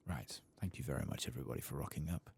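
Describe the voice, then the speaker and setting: A man giving soft-spoken, partly whispered ASMR commentary on a video game, muttering hesitantly as he thinks aloud. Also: very deep voice, racing, vocal fry, a little hiss or hum. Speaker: host of a video game playthrough channel.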